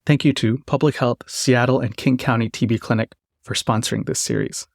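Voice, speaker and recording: Creaky-voiced male podcast host. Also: medium pitch, steady tone, a little fast, dry recording, clean studio sound. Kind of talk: scripted introduction then interview questions.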